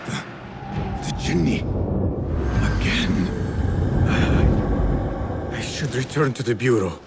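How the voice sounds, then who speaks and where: Slow, brooding young male assassin. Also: medium pitch, lively intonation, vocal fry. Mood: troubled, hesitant. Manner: halting, terse. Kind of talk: video game cutscene dialogue. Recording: music behind.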